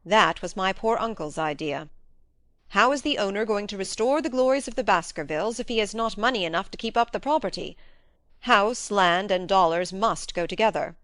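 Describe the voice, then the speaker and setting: A woman's voice: mid-range voice, moderate pace, fairly bright tone, no room sound, studio quality, natural voice. A woman voicing an eager, frank young male baronet in an audiobook story. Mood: earnest.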